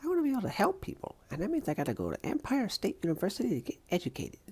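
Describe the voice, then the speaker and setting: A woman's voice: medium pitch, wildly swooping pitch, racing, warm tone, breathy edge. A woman voicing an upbeat young superhero college student in a comic dub, perky, chatty and emphatic. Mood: earnest, insistent. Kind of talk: comic book dub voice acting.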